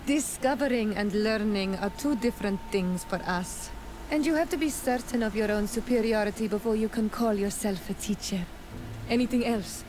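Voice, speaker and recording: A woman's voice: mid-range voice, moderate pace, a little mellow, slight background noise, lightly acted. An actress playing an earnest, devoted young native clanswoman in a video game. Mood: calm, proud.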